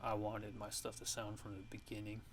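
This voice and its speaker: A man giving a casual music production tutorial in a halting, laid-back, ASMR-style way, talking offhand. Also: on the low side, monotone, slight background noise.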